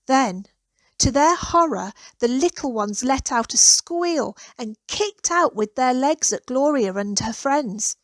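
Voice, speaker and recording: A woman narrating a children's storybook in an expressive, deliberate storytelling manner. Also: sits high, no echo. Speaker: author reading own children's story.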